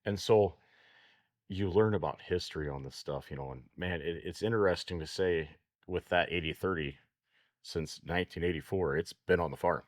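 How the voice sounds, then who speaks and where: A man's voice: low voice, normal speed, no echo, clean studio sound. A man co-hosting a farm talk show, chatting folksily with repeated words. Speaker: co-host, auction company staff. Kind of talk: casual radio talk.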